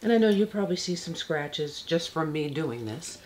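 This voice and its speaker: A soft-spoken woman in her fifties, casually showing a handbag in her bedroom with halting speech and many fillers. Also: low voice, animated, a little hiss or hum. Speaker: handbag vlogger. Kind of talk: casual product demo. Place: bedroom, seated on a bed. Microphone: external camera mic.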